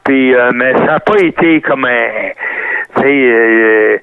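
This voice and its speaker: An older man with a Québécois French accent, a guest reminiscing in an interview, rambling and halting with many restarts, heard over a phone line. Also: mid-range voice, clear, resonant tone, no echo. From Canada. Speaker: guest, retired wrestler. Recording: tinny phone-line sound, dry.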